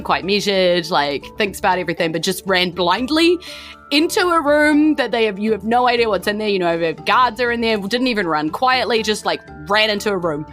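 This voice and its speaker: A woman's voice: medium pitch, fairly bright tone. A woman with a New Zealand accent, running a tabletop game as game master in an informal, conversational storytelling style. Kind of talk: improvised tabletop game narration.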